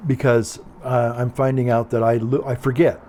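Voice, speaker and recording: An older man with a calm, quiet voice, chatting casually in a meandering, hesitant way and often repeating himself. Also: mid-range voice, moderate pace, slight background noise. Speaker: video blogger. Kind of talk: casual rambling monologue.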